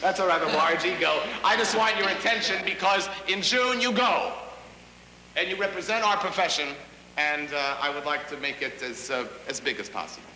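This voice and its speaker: A middle-aged man lectures acting students with theatrical emphasis and demands. His voice is high for a man and piercingly forceful. It rings in a reverberant room. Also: normal speed. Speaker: drama teacher. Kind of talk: lecturing a drama class. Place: large high school classroom, indoors. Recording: hissy tape, echoey reverberant classroom.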